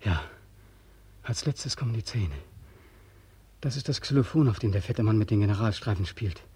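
Brief: haunted war returnee, 1940s radio drama, muted raspy tone, pleading, obsessive. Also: male voice, on the low side, moderate pace, mellow. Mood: haunted, insistent. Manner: weary, pleading, obsessive, repeating himself. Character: weary, haunted ex-soldier back from war, insistent.

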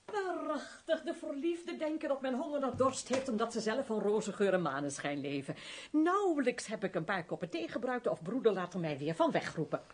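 A woman's voice: on the high side, very animated, brisk, slight background noise. A woman playing a witty, mocking countess in a radio play, with an arch, theatrical delivery. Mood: arch, teasing. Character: witty, mocking countess, teasing wife and sister.

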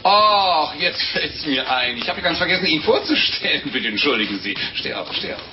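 A man's voice: a little high, animated, normal speed, close to an everyday voice. A muffled, hissy 1950s radio drama recording. A male actor plays a jovial, pious monk, theatrical and unctuous. His fatherly, fussy speech swings freely up and down.

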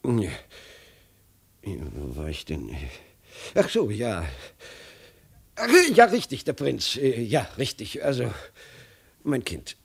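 A doddering old emperor in a vintage radio play, husky and creaky. His pitch swoops up and down as he loses his thread, stammers, repeats himself and tries to evade. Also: big pitch swings, takes it slowly, pauses often, exaggerated voice. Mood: hesitant, pleading. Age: older. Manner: absent-minded, stammering, repeating himself, chuckling. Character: doddering old Chinese emperor, flustered, evasive father. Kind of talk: 1950s German radio drama acting.

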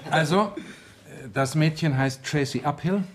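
An actor playing a businesslike police inspector in a 1970s radio crime drama, clipped and dryly sarcastic. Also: male voice, fairly high pitch, measured pace, close to an everyday voice. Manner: clipped, dry, matter-of-fact.

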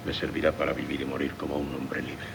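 An actor playing a menacing, mysterious seafarer with a gravelly voice in a Castilian Spanish radio drama, speaking ominously and deliberately. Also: male voice, extremely low pitch, level tone. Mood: grave resolve. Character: menacing mysterious seafaring stranger, commanding and fatalistic. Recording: noisy 1970s radio recording, distant voice.

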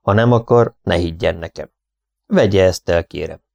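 A man voicing a calm, precise foreign detective, speaking in a measured, soothing way. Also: low voice, creaky, no room sound, highs cut off.

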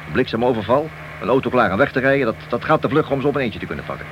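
A man's voice: mid-range voice, lively intonation, rapid-fire, highs cut off, lightly acted. An actor playing an ambitious, glory-seeking policeman in a radio play, insistent and persuasive. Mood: urgent, scornful. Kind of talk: radio play dialogue acting. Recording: old radio recording with hiss.

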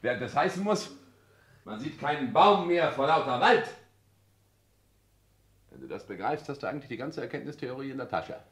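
Man playing a pompous, animated lecturing husband. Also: mid-range voice, normal speed, pauses often, lightly acted. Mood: pedantic, lecturing. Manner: pompous, declamatory, lecturing, with affected French phrases. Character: pompous, self-important husband, vain grandiose storyteller and lecturer. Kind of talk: 1960s German radio play acting.

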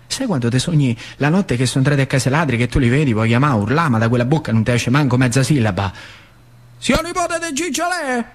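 A man's voice: mid-range voice, quick pace, few pauses. A man with a central Italian accent performing a colloquial theatrical monologue, repeating phrases for dramatic effect. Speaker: stage actor and storyteller. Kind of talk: theatrical monologue, first-person storytelling.

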